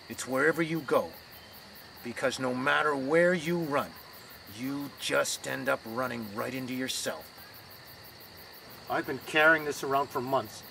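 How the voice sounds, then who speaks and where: A man's voice: a little high, bright, forceful tone, voice pushed up. A man playing a frustrated lover in a dramatic film monologue, blunt and accusing. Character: frustrated male lover confronting a flighty woman. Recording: echoey room, distant mic, faint noise.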